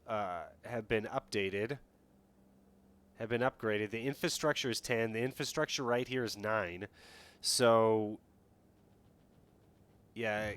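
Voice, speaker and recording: A man's voice: mid-range voice, fairly bright tone. A man streaming a strategy game live, talking casually and thinking aloud as he plays. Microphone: headset.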